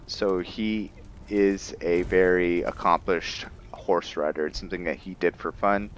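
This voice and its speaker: Low-voiced, slow-paced man narrating gameplay. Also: steady tone, a little hiss or hum. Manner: halting, thinking aloud. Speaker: gamer narrating a roleplay playthrough. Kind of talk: casual game commentary.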